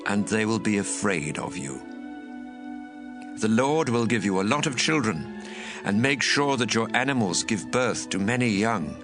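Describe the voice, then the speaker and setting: A man playing a solemn preacher who pronounces blessings and promises in a measured, assured scripture recitation. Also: medium pitch. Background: music.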